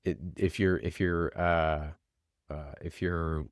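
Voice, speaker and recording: A man with a low voice explains ideas as an interview guest. He speaks informally and haltingly, working through examples aloud. The sound is dry, with very little room in it. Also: fairly even intonation, no room sound. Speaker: interview guest, podcast producer. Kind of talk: conversational explaining.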